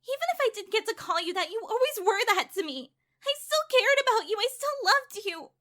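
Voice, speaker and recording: Very high-pitched, emotional young heroine voiced by a woman. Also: sing-song, fairly quick, pushed and bright, heavily acted. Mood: pleading. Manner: emotional, pleading. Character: distraught young heroine, loyal and loving. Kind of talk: amateur audio drama acting.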